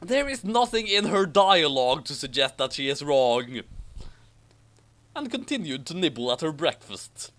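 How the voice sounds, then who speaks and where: A man narrates a story in a highly animated, fairly high voice. His reading is expressive and sardonic, and he often steps out of it to comment. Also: a little slow. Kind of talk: story narration with mocking asides.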